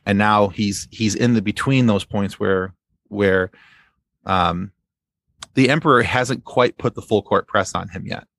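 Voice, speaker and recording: A man speaking as a podcast guest in a casual fan discussion, explaining things in a halting, rambling way. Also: lowish voice, creaky, a bit bright, no room sound.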